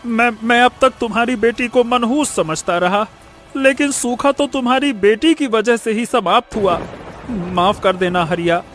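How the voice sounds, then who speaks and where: A woman voicing a blustering, quick-tempered male village headman in a storybook tale. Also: fairly high pitch, slight background noise, close to an everyday voice. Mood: flustered, apologetic.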